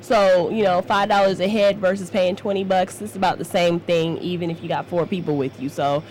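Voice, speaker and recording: A woman's voice: on the low side, fairly even intonation, a little fast, darkish tone, a little hiss or hum, poor audio. A woman hosting a live radio talk show, chatty and upbeat as she promotes events, often laughing. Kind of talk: casual live radio chat.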